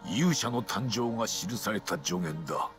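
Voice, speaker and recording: Slow, solemn old king. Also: mid-range voice, lively intonation, gravelly. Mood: ominous, measured. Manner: grave, formal, archaic old-man phrasing. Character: solemn, stately elderly kings in council. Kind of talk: dramatic Japanese RPG cutscene acting. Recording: game cutscene with faint music.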